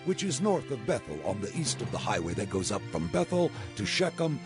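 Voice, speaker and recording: A man's voice: lowish voice, big pitch swings, fairly quick. A man playing a grave, weighty elder of ancient Israel in a dramatized scripture production. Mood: scheming. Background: prominent music.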